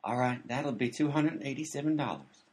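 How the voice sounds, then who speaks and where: A man playing a stubborn, unhurried deliveryman with a deadpan manner in a comedy radio play. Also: medium pitch, lightly acted.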